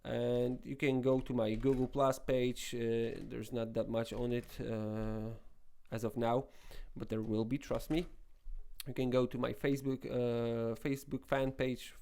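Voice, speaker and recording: A man's voice: medium pitch, level tone, unhurried, dark tone, clear, resonant tone. A young man with a Polish accent, a non-native English speaker, hosting a web development tutorial in a rambling casual way with many restarts and fillers. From Poland. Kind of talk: casual screen-recorded tutorial talk.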